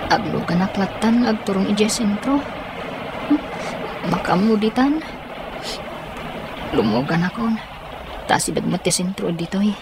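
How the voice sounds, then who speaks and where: A woman playing a kind, humble and helpful youth in an Ilocano radio drama, earnest and full of exclamations. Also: mid-range voice. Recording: noisy, with street and vehicle ambience.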